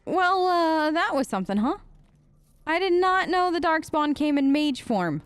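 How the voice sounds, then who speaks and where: A woman giving chatty, excitable gameplay commentary as a streamer, talking straight to her viewers. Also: sits high, unhurried. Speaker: let's play streamer.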